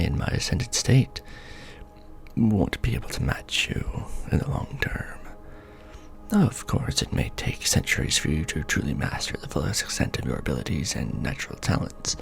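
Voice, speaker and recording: Vampire lord, played by a man: low, very gravelly, breathy, courtly and possessive, measured ASMR delivery with soft menace. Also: exaggerated voice. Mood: grand, secretive. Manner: formal, grandiloquent, softly menacing, measured. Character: courtly, possessive male vampire lord, ancient and world-weary. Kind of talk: ASMR roleplay, intimate address to listener. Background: music.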